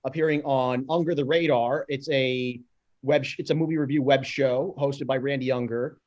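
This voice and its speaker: A man chatting casually as a panelist, halting and restarting his phrases as he introduces himself. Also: medium pitch, fairly even intonation, highs cut off, a little hiss or hum. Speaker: panelist, indie film producer and reader. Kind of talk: casual chat.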